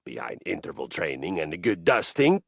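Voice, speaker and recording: An actor voicing a grandiose, zealous treadmill personified in a video game, telling sagas in a pompous, theatrical way with deadpan asides. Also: male voice, low voice, brisk, vocal fry, dry recording, clean studio sound, exaggerated voice. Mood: boastful, lustful. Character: zealous, grandiose treadmill deity, boastful lover of violence. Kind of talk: dramatic video game character monologue.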